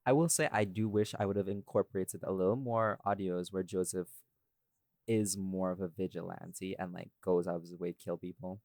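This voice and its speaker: A man's voice: deep voice, fairly even intonation, a little fast, darkish tone, dry recording. A man chatting casually and expressively about his own audio work on a behind-the-scenes podcast. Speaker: audio drama creator, podcast host.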